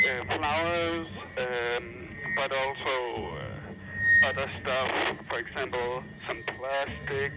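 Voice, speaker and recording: A man hosting an improvised, playful radio show from a studio, speaking in a halting, hesitant way. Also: mid-range voice, low-quality recording. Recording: loud music under the voice. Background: music.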